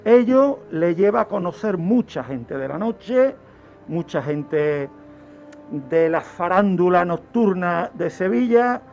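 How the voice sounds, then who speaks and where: High-pitched, gravelly man storytelling slowly. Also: animated, a little mellow. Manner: didactic, dramatic pauses, rhetorical questions. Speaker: criminologist, radio segment contributor. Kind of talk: true-crime storytelling on a radio show. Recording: dull lo-fi radio recording with background music.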